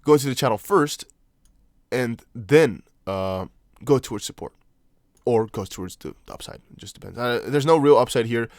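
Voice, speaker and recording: A young man whose first language is Dutch, speaking English with a Dutch accent. He hosts a casual crypto livestream, chatting loosely, reading chat aloud and thinking out loud with lots of fillers. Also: medium pitch, animated, moderate pace, no room sound, clean studio sound. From Netherlands. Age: young adult. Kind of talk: casual livestream chart analysis.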